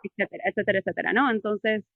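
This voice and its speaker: A woman's voice: medium pitch, fairly even intonation, very fast, no echo, narrow, tinny audio. A woman in her thirties from Peru, with a Peruvian accent mixed with a Cuban touch, telling her story as an interview guest on a video call and talking chattily with many fillers and repeated words. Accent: Peruvian Spanish with a mixed, Cuban-tinged touch. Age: 30s. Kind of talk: personal testimony over a video call.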